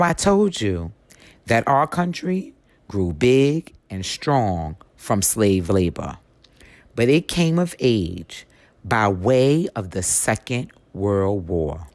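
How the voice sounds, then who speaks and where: A woman reads a history story aloud in an audiobook style. Her delivery is slow and emphatic, with wide, animated swings in pitch. The recording is close and dry. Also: no room sound. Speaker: read-aloud narrator.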